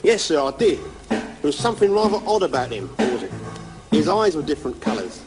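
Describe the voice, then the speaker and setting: An actor playing an earnest, dim-witted sidekick policeman with deadpan delivery in a radio comedy. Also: a little high, brisk, loud music behind the voice, low-quality recording. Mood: eager, dim. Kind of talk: 1980s comedy radio play acting.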